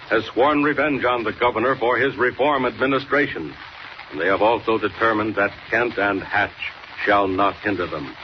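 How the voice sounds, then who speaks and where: A man's voice: fairly low pitch, muffled recording. Dramatic male announcer on noisy old radio. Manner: dramatic, declamatory. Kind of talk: 1940s radio announcing, scripted narration.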